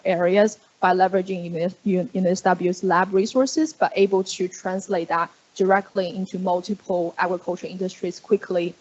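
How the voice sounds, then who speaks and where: Woman presenting, muffled room mic. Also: darkish tone. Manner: hesitant, many fillers, upbeat pitch. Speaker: conference presenter. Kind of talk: conference talk. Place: conference room.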